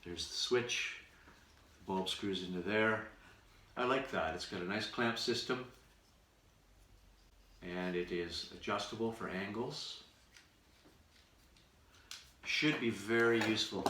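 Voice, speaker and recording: A man giving a casual unboxing commentary to camera at home, speaking in deliberate, piecemeal phrases. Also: lowish voice. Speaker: vlogger, radio show performer. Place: room in his house. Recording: roomy echo, distant mic, faint noise.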